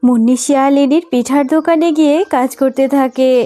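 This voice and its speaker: Casting: female storyteller, soft dark tone, scripted children's cartoon narration over music. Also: high voice, fairly even intonation, fairly quick.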